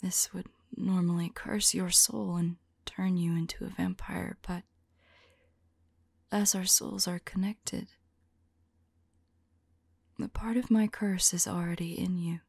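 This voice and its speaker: A woman playing a tender, anxious centuries-old female vampire lover in an intimate, whispered ASMR audio roleplay, speaking softly and haltingly. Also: lowish voice, flat delivery, no echo, heavily acted. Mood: solemn, hesitant. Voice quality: breathy, whispery. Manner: halting, soft, musing to a loved one.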